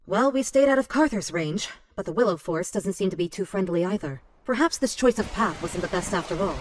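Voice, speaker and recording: A woman's voice: mid-range voice, expressive, fairly quick, dry recording, close to an everyday voice. An actress playing a wary noblewoman with a crossbow in a medieval game, matter-of-fact in delivery. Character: wary female crossbow-wielding noblewoman companion. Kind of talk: video game cutscene acting.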